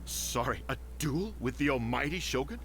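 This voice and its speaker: A man's voice: medium pitch, lively intonation, normal speed, natural voice. A man voicing a nervous, scheming older male court official in video game dialogue, flustered, stammering and fawning, with faint music behind. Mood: evasive.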